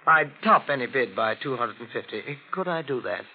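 An actor playing a polite, self-interested rich collector in a 1940s radio drama, effusive and given to repeating himself. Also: male voice, mid-range voice, expressive, a little fast, gravelly. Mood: smooth, eager. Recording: dull, noisy vintage radio recording.

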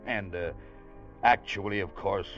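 Casting: easygoing seaman narrator, low conversational voice, wry vintage radio storytelling, muffled recording. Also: a little slow, a bit bright, faint music behind. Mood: wry, reminiscing. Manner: folksy, conversational. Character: wry, easygoing ship's officer telling his story. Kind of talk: 1940s-50s radio drama narration.

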